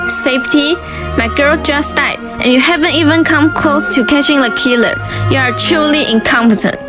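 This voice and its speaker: A woman's voice: high voice, moderate pace, music in the background, close to an everyday voice. A woman acting a callous, foul-mouthed brothel madam in a student radio drama, scolding with impatient contempt. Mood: scornful, angry.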